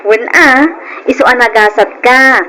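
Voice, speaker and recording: A woman's voice: on the high side, steady tone, unhurried, sharp tone, poor audio. A Filipino woman playing a soothing, attentive confidante in an old radio drama.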